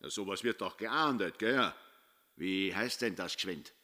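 A man's voice: deep voice, animated, a little slow, bright, forceful tone, full character voice. An actor with an Austrian accent playing a folksy, aggrieved village huntsman in a radio drama, heard in a reverberant space, off in the distance. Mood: aggrieved, chatty. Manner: colloquial, grumbling.

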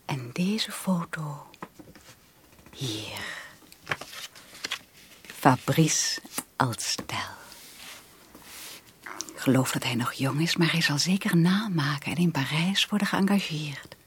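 An actress in a Dutch radio drama playing a dreamy, infatuated young aunt who confides in breathy half-whispers to a small child. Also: female voice, deep voice, slow pace, pauses often, a little hiss or hum. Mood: dreamy, confiding.

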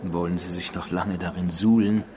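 A man's voice: low-pitched, steady tone, normal speed, crowd noise, lightly acted. An actor in a German radio drama playing an irritated, sarcastic businessman, curt and dismissive. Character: irritated, sarcastic businessman, unwillingly cornered by a stranger.

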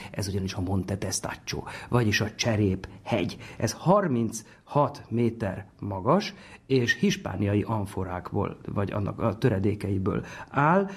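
A man's voice: on the low side, a little mellow, husky. A man giving an informal, explanatory talk as an expert on the ancient world, stressing key words conversationally. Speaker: expert on classical antiquity.

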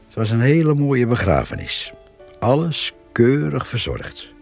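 Radio drama monologue: simple rural man, slow and halting, bass voice, grave and reflective. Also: vocal fry, full character voice. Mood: earnest. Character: sombre, simple countryman recounting a neighbour's death.

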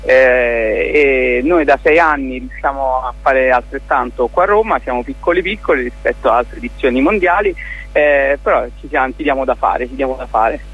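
Male interview guest, mid-pitched, informal and halting, feeling his way through phrases. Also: breathy edge, lo-fi sound. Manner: informal, halting, searching for words. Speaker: festival organiser, radio guest. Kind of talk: casual spoken interview.

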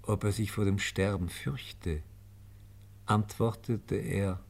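Solemn male narrator for radio drama, lowish voice, measured delivery. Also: fairly even intonation, vocal fry, darkish tone. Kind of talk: scripted radio play narration.

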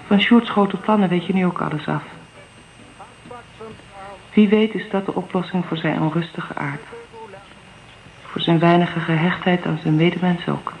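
A woman's voice: lowish voice, steady tone, moderate pace, long pauses, a little mellow, lightly acted, poor audio. An actress playing an earnest, candid young divorced mother in a Dutch radio drama. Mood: wistful.

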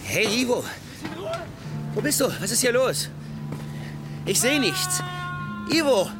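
A man's voice: extremely high pitch, sing-song, slow pace, exaggerated voice. An actor in a radio crime drama playing a rattled, evasive, slangy young man who is hard up. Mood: anxious calling. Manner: slangy, agitated, often shouting. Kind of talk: radio crime drama, first-person narration and dialogue. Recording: studio radio play with loud music behind.

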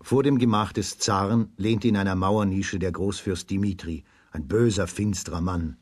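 A man's voice: sits low, steady tone, normal speed, a little mellow, slightly rough, no room sound. A man narrating a scripted fairy tale for a children's radio play.

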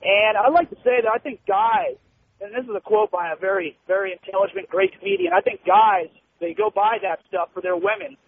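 A man's voice: high voice, wildly swooping pitch, fairly quick. A man calling in to a radio show, joking crudely and eagerly agreeing with the host. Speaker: caller. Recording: tinny phone line with faint noise.

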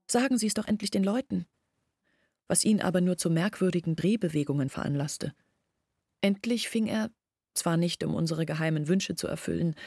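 A woman's voice: lowish voice, warm tone, breathy edge, no room sound, clean studio sound. An actress playing a troubled young village woman who recounts her family's downfall as a first-person story in a radio play. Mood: urgent, pleading.

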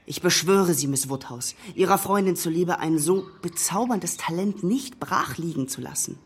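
An actor playing a gallant young gentleman suitor in a period radio drama, effusive, flustered and eager to flatter. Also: on the high side, normal speed, slightly rough. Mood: pleading, flattering. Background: prominent music.

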